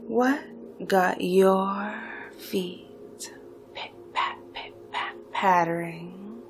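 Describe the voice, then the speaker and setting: Woman acting a very slow, seductive supervillainess. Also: medium pitch. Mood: probing, hypnotic. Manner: deliberate, drawn-out phrasing, often dropping to whispers. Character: seductive, hypnotic female supervillain recruiter, smugly delighted. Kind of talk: slow theatrical hypnosis roleplay monologue. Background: music.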